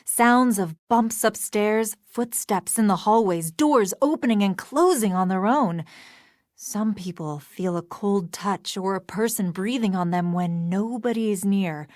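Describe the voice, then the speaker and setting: A woman voicing a knowledgeable, formal expert in a video game, speaking in a measured and precise way. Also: medium pitch, a little mellow, no echo, clean studio sound, close to an everyday voice. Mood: grave, informative. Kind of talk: scripted video game dialogue.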